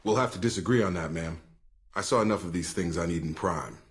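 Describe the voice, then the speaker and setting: Man acting as game soldier, male, deep, blunt, terse, dry, skeptical. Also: brisk, natural voice.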